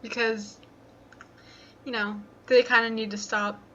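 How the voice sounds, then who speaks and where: A woman's voice: on the high side, little pitch movement, unhurried, bright, forceful tone. A woman giving an informal opinion on dull lo-fi audio with faint noise. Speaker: video maker defending game developers.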